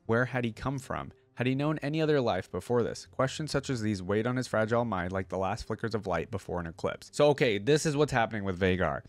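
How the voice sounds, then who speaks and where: A man's voice: lowish voice, animated, fast, few pauses, no room sound. A young man hosting a casual reaction video, thinking aloud and reading lore at a close desk microphone. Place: indoor gaming room.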